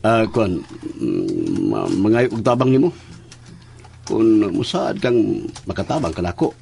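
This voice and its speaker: A man playing an awkward, halting, lovesick schoolboy in a comic audio drama. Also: lowish voice, huge pitch swings, vocal fry, darkish tone, a little hiss or hum, heavily acted. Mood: sheepish pleading. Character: awkward lovesick schoolboy seeking a friend's help.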